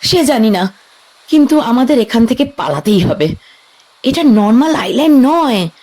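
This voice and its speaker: A woman's voice: very animated, a little slow, a little mellow. A woman playing a frightened young woman on a haunted island. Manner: high, urgent and tense delivery. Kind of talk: audio drama acting.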